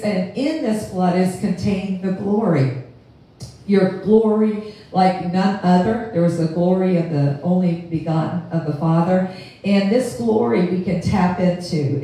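Older woman, sermon, fervent and halting, mellow low voice, echoey room, heard from across it. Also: far from the mic. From United States. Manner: fervent, halting, with many restarts and fillers. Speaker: pastor. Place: living room with a lectern. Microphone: handheld mic, camera across the room.

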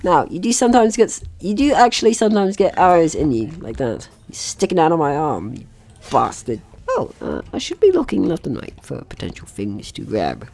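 Low-voiced, animated woman playing games. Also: a little fast, gravelly. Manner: rambling, playful, swears casually. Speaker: gaming video host. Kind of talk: casual let's play commentary.

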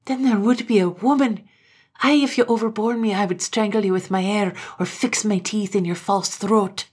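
A woman reader voicing a fierce, defiant young Highland woman, with a husky, gravelly edge and a spitting, fiery manner. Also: fairly even intonation, moderate pace.

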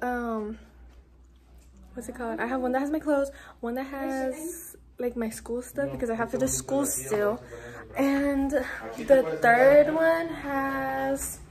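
A woman's voice: high-pitched, steady tone, takes it slowly, pauses often, a crowd in the background. A teenage young woman with an American accent chatting casually to her vlog, bubbly, slangy and exclamatory. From California, United States. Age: teens. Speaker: travel vlogger. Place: car, outdoor stops and hotel room. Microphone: phone at arm's length. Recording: noisy handheld phone, road and street ambience.

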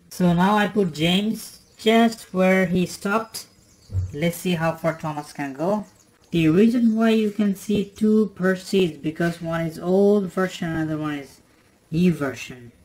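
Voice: medium pitch, a little mellow, rough recording. An adult hosting a toy channel, giving casual commentary on a toy train race with frequent fillers and restarts.

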